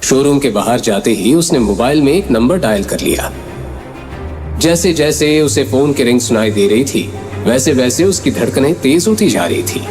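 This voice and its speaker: Guy narrating a drama serial, really animated voice, music going behind him. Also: mid-range voice, normal speed. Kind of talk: dramatic scripted story narration. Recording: background music under the voice.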